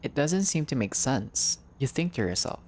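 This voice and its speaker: A man's voice: mid-range voice, big pitch swings, moderate pace, darkish tone, slightly rough. A man reading a visual novel aloud as a playthrough narrator, in a storyteller-like way with brief casual asides.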